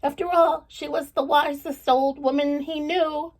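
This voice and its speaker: A woman reading a story aloud for children in an expressive, playful, dramatic way, putting questions to her listeners. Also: sits high, measured pace. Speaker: storybook reader.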